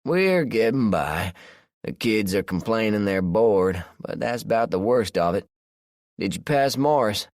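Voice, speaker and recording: A laid-back Southern country man around fifty drawls along in an unhurried, folksy way, as an audiobook narrator puts him on. The voice sits noticeably higher than the narrator's own. It is recorded close and dry, with no room around it. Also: animated, a little mellow, no room sound. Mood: friendly. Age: 50s.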